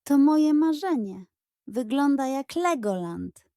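A woman voicing a cheerful, excitable little girl in a children's audio story. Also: high-pitched, slow pace, no room sound, clean studio sound, pitched above the performer's own voice, full character voice. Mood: amazed.

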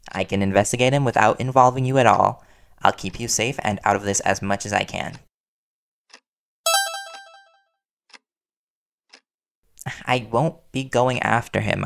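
Man acting a worried boyfriend, low and creaky. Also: fairly bright tone. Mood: accepting, reassuring. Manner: earnest, soothing, hesitant pauses. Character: worried, devoted young male reporter boyfriend, gently pleading. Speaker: voice actor in audio roleplay. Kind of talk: intimate boyfriend audio roleplay acting.

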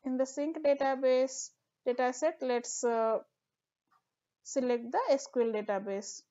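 A woman, walking through a software tutorial step by step with the odd filler. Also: sits high, unhurried, highs cut off. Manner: steady step-by-step explaining with fillers. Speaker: tutorial instructor. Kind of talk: screen-recorded software tutorial.

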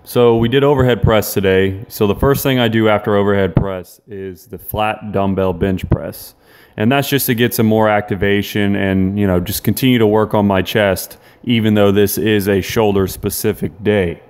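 A young man in his twenties, talking casually and quickly to the camera held at arm's length, vlogging about his workout. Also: on the low side, steady tone, a little mellow, clean tone. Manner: quick, chatty, loose with fillers. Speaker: fitness vlogger. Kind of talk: casual vlog narration. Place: in a car, then gym voice-over, then kitchen.

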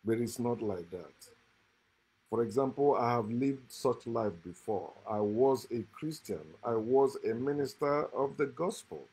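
A middle-aged man with a husky, slightly breathy voice at a middle pitch. He lectures to a phone camera held close, stressing and repeating phrases like a preacher. The room is indoors and the sound is close up. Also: darkish tone, poor audio. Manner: preachy, repetitive, emphatic. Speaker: host.

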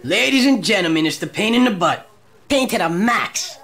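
An actor playing a crude, sneering mobster in a crime game, with a mocking, showy delivery. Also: male voice, high-pitched, expressive, normal speed, fairly bright tone, exaggerated voice.

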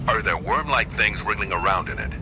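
Man playing a low-voiced dry-humored game doctor. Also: animated, fairly quick, close to an everyday voice. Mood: calm, teasing. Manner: instructive, teasing. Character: dry-humored male doctor guiding a survivor remotely. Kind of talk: video game character dialogue. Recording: loud game music and noise behind.

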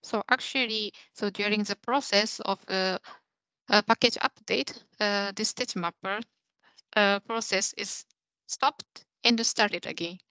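A woman's voice: mid-range voice, pushed and bright, dry recording, low-quality recording. A young adult woman giving a technical demo on a video call, explaining things step by step. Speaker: presenter of a software demo. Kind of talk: technical presentation with live demo. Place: small indoor room, on a video call.

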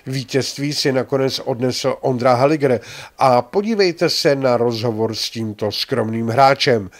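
An older man hosting a bowling tournament, chatting and teasing as he reports and interviews players with a handheld mic in a large bowling alley. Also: mid-range voice. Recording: faint hall noise.

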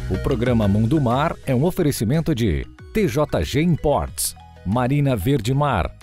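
A man's voice: mid-range voice, very animated, unhurried. A man with a Brazilian accent reading a scripted sponsor announcement. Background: music.

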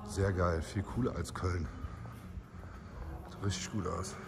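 A man in his forties with a cold, talking casually and excitably into a phone camera held at arm's length. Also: deep voice, unhurried, creaky, background noise. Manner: chatty, excitable, swears casually. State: has a cold. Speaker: vlogger. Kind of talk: casual vlog. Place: living room, then car park and car interior.

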